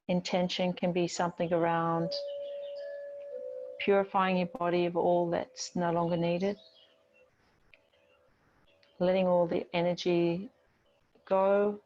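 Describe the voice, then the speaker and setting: A woman yoga teacher giving gentle, unhurried guided relaxation and movement instructions in a soothing manner. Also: lowish voice, little pitch movement, dull audio, slight background noise.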